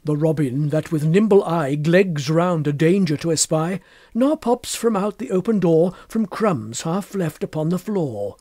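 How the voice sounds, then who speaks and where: A man reading poetry aloud in an expressive literary style, with measured and deliberate phrasing. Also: a little high, no room sound, studio quality. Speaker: poetry reader.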